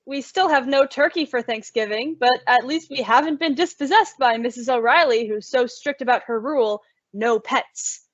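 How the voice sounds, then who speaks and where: A woman's voice: sits high, moderate pace. A woman playing a sensible, often exasperated young roommate in an old-time radio comedy, with emphatic theatrical delivery. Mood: wry. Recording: dull lo-fi audio.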